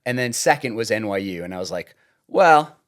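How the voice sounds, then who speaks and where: A low-pitched man from suburban New York recalls his past in a relaxed podcast chat. He tells stories with energy and slips in dry, teasing jokes along the way. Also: fairly quick. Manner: joking, deadpan teasing, lively storytelling. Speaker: podcast guest, TV writer. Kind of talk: casual interview chat.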